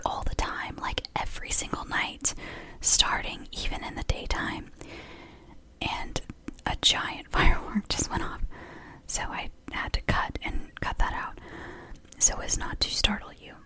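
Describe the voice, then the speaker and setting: Whispering woman reading to soothe. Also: bright, forceful tone. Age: 30s. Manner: whispering, chatty asides and apologies. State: sore throat from whispering. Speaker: ASMR creator. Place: home.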